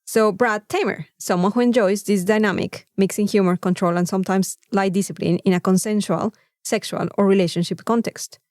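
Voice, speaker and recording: A Mexican woman with a native Spanish background, co-hosting a podcast in casual, comedic chat with a playful, sweary, animated storytelling manner. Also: medium pitch, fairly quick, hardly any pauses, no echo, studio quality. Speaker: podcast co-host.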